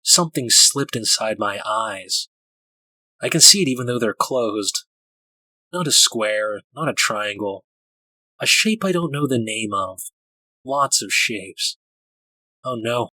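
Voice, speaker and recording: Man voicing a bright-toned, frightened, awestruck child. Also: mid-range voice, dry recording, poor audio. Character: frightened, awestruck child having a hallucinatory vision. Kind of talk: dramatic first-person audiobook narration.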